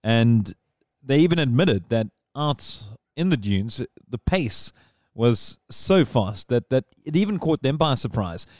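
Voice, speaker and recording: A man's voice: medium pitch, sing-song, unhurried, darkish tone, no room sound. A man hosting a podcast in casual chat, rambling and digressing as he talks. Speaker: podcast host.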